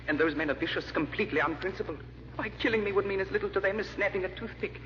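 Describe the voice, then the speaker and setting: An actor playing a scheming, desperate aristocratic brother in a theatrical, wheedling old-time radio style. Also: male voice, high-pitched, fast, a bit bright. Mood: pressing, agitated. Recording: muffled, hissy old radio recording, echoing reverb.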